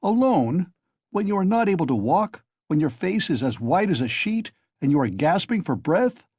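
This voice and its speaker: A man shouting as an outraged young friend. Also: high-pitched, big pitch swings, normal speed, breathy edge, no echo, voice pushed up, exaggerated voice. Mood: furious. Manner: shouting, scolding. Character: loud, furious, caring young male friend.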